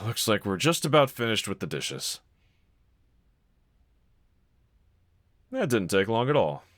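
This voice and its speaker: A man playing a gentle, encouraging husband in an intimate scripted roleplay audio, speaking in a considerate, reassuring manner. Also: fairly low pitch, big pitch swings, normal speed, dry recording, clean studio sound. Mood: tender, sincere. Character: gentle, encouraging adult husband, calm and caring. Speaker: character audio voice actor.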